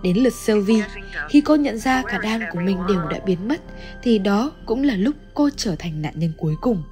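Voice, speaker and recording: A woman's voice: medium pitch, expressive, normal speed. Woman narrating over background music. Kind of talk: scripted story recap narration. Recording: music under the voice.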